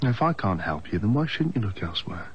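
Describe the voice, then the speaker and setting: A man acting an awkward, evasive husband in a television drama, with an English accent, speaking quietly and hesitantly. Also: fairly low pitch, big pitch swings, quick pace, dull audio, a little hiss or hum. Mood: hushed.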